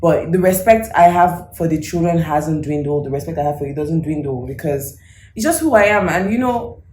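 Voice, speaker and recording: A woman from Nigeria, co-hosting a casual couples chat, talking in an animated, emphatic way. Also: low-pitched, fairly quick, echoey room, a little hiss or hum. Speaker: co-host.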